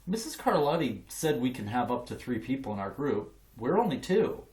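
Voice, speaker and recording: A man's voice: mid-range voice, sing-song, moderate pace, darkish tone, roomy sound, lightly acted. A man voicing a friendly, earnest new schoolboy in a children's storybook. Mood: earnest, persuading.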